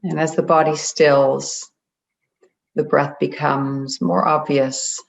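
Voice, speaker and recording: A meditation guide leading a group in a gentle, deliberate way, leaving long pauses between phrases. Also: medium pitch, steady tone, gravelly. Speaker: meditation guide, spiritual coach. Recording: dull, muffled lo-fi audio.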